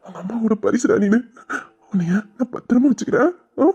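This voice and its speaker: Woman playing a husky, muffled, doting young husband. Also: medium pitch, quick pace, dark tone. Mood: tearfully tender. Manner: tender, cooing, protective. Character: doting, emotional young husband and new father. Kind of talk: melodramatic Tamil audio drama. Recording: dull, muffled lo-fi sound.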